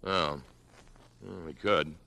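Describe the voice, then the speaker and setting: An actor playing a breezy, casual American boyfriend with an offhand manner in a radio drama. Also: male voice, deep voice, fairly even intonation, slow, vocal fry, sharp tone, full character voice.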